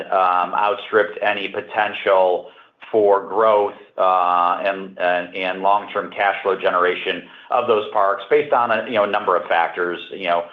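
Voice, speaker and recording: A man's low voice comes over a narrow phone line with a roomy echo. He is an executive giving unscripted answers, measured and halting, often repeating words as he works through a point. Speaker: company executive answering analysts. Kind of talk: unscripted earnings call answers.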